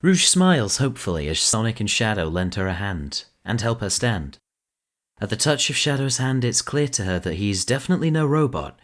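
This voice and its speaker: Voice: medium pitch, big pitch swings, moderate pace. A narrator reading scripted present-tense story narration for an audio drama.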